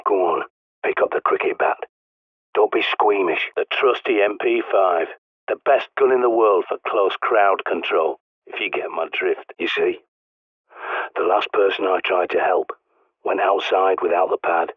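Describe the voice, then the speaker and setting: Gruff british prepper on a radio, deep husky voice, dry knowing tone. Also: male voice, dry recording. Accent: British English. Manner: dry, knowing, conspiratorial instructions. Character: gruff ex-army male survivalist, middle-aged, secretive. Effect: radio transmission. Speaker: game character, survivalist guide. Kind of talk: video game voice lines. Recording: tinny radio-filtered line.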